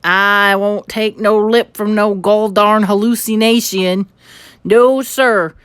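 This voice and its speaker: A woman voicing a tiny drunken cowboy man with a Texas accent, shouting in a belligerent, slangy drawl. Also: mid-range voice, steady tone, slow pace, smooth, dry recording, studio quality. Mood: ranting.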